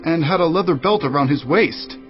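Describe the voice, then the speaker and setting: A man's voice: fairly high pitch, animated, fairly quick, natural voice. An actor playing a messenger who reports urgently and earnestly to the king. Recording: muffled audio under music.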